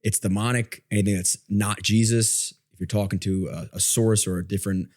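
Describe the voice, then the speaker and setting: Low-pitched, inquisitive man in conversation. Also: fairly even intonation, brisk, darkish tone. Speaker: podcast guest.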